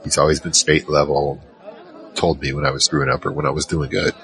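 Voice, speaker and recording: A man's voice: rumbling low, level tone, fairly quick, fairly bright tone. A man playing a curious, hesitant adventurer who recounts his story in a conversational first person for an audio drama. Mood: reflective, conversational. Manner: conversational, hesitant, searching for words. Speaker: narrator and lead character. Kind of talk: in-character first-person scripted narration.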